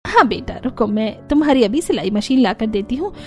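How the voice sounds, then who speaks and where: Woman putting on a brisk, high-voiced crafty auntie. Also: lively intonation, faint music behind, lightly acted. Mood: falsely hospitable. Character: bossy, crafty neighbourhood auntie, middle-aged woman. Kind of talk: Hindi cartoon story character voice.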